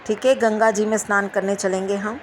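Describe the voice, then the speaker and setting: A woman leading a guided meditation, giving gentle instructions with repeated phrases and long pauses. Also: medium pitch, flat delivery, a little hiss or hum.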